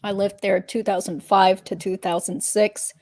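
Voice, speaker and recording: A woman playing a guarded, matter-of-fact witness giving measured answers in a dramatic reading of a deposition. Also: mid-range voice, little pitch movement, a little mellow, natural voice. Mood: guarded, factual.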